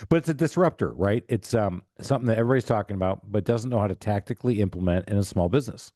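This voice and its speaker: A man's voice: on the low side, quick pace, dark tone, no room sound, clean studio sound. A middle-aged man hosting a casual interview with jokey banter. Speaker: podcast host.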